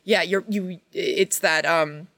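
A woman's voice: lowish voice, fairly even intonation, slow, fairly bright tone, no room sound. A woman in her thirties talking casually and reflectively, earnest and introspective as a panelist. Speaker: actor, podcast panelist.

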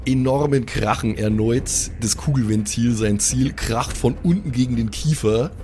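A man's voice: medium pitch, normal speed, darkish tone, soft background music. A man with a Bavarian accent improvising colloquial, vivid narration as the game master of a tabletop game.

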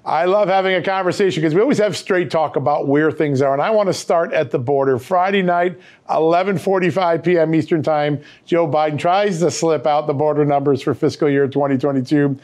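A man's voice: a little high, darkish tone. A middle-aged American man hosting a political program, talking in an emphatic, folksy broadcast style. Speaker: host and interviewer. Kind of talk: political interview and sponsor ad reads.

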